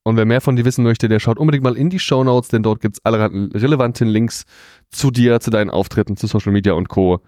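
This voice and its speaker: Fast-talking man with a dark voice. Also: fairly low pitch, no room sound, clean studio sound. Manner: quick, chatty, frequent fillers. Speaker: podcast host interviewer. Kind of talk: casual interview. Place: comic convention.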